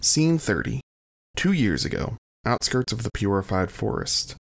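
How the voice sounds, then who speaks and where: Guy doing scene narration for an audio drama, lowish gravelly voice. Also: huge pitch swings, a little slow. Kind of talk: scripted narration.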